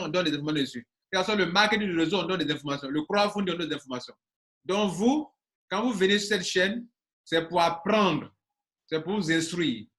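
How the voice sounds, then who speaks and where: A man in his forties speaks to his audience as a business coach. His voice sits high for a man and rings bright and forceful. He drives points home by repeating them. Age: 40s. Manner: emphatic, repeating phrases for stress. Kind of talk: casual talk to viewers.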